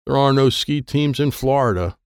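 A man's voice: medium pitch, animated, moderate pace, clean tone, no room sound, studio quality, pitched above the performer's own voice, lightly acted. A man voicing a stubborn, bossy, sporty older girl in a children's audiobook. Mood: indignant, upset. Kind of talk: children's audiobook character dialogue.

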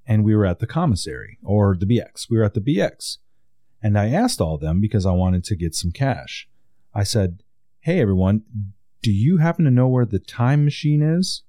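A man's voice: lowish voice, mellow, dry recording, clean studio sound. A man from Wisconsin with a Wisconsin accent, hosting a casual interview and telling stories in an easygoing way. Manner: easygoing storyteller. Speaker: podcast host.

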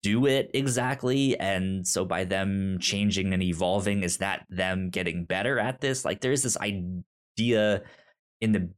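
A man co-hosting a podcast in casual chat, halting and repeating words as he searches for the next one. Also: deep voice, clear, resonant tone. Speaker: podcast co-host. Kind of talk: casual book discussion chat.

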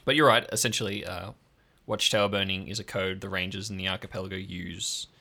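A man's voice: sits low, fairly even intonation, fairly quick, gravelly, pushed and bright, voice pushed down, exaggerated voice. A man voicing an abrupt, authoritative female park ranger chief in an improvised audio drama. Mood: guarded, conceding.

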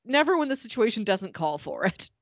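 Lively woman chatting, close dry sound. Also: a little high, a little fast, no echo. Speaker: podcast co-host. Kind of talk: casual chat about fiction.

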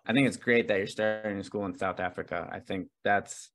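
A man's voice: on the low side, steady tone, a little fast. A man hosting a podcast interview, affirming his guest and steering the conversation along. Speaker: podcast host.

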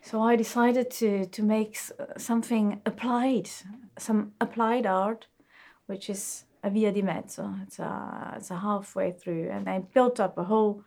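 Halting Austrian-born woman shoemaker. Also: medium pitch, gravelly, darkish tone. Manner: halting, searching for words, many fillers. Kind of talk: interview answers.

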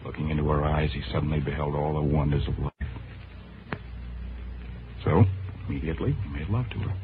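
Suave wealthy suitor, extremely low voice, romantic, 1940s radio drama, tinny broadcast with music behind. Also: male voice, fairly even intonation, brisk, noisy background. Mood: tender, romantic. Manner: suave, wooing. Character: Wynn, romantic wealthy Philadelphia gentleman courting his secretary.

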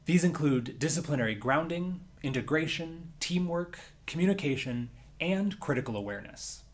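Man lecturer narrating in a measured tone. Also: on the high side, expressive. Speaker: university lecturer. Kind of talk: scripted narration.

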